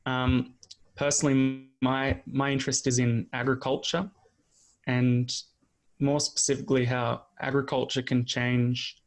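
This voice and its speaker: An Australian man in his twenties co-hosts a podcast. He speaks informally and a little haltingly, as if thinking aloud. His pitch stays mid-range and fairly flat. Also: poor audio. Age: 20s. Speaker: podcast co-host. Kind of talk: casual podcast introduction.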